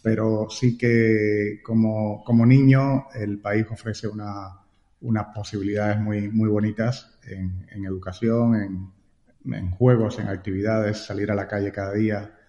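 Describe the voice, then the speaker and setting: A Cuban-accented man with a lowish voice answers interview questions and keeps repeating words as he thinks. The recording is dull and lo-fi, with a roomy echo and a long reverb tail. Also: flat delivery, measured pace, warm tone. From Cuba. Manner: repeats words while thinking, frequent "eh" fillers. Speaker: guest.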